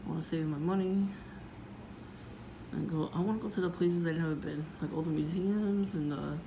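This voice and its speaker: A woman vlogging casually about her day in a low voice, meandering with many fillers and restarts. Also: fairly even intonation, moderate pace, gravelly. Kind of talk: casual rambling vlog. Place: outdoors, windy. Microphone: phone. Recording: noisy phone recording.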